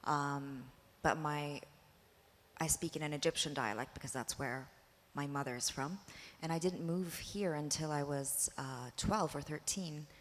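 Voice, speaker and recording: A woman's voice: deep voice, measured pace, fairly bright tone. A woman filmmaker on a panel, talking hesitantly with frequent fillers. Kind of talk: panel discussion answer. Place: museum panel stage. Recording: long hall reverb.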